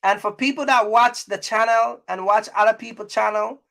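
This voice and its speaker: A man with a distinctly high voice talks to his viewers as a vlog host. His delivery is casual and colloquial, full of opinion. He leans on repetition to press each point emphatically. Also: fairly even intonation, moderate pace, gravelly, bright, forceful tone. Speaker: YouTube host, trucking business creator.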